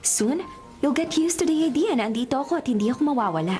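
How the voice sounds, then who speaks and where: High-voiced, lovestruck college girl. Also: expressive, fast, a little mellow, faint music behind. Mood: cheeky, determined.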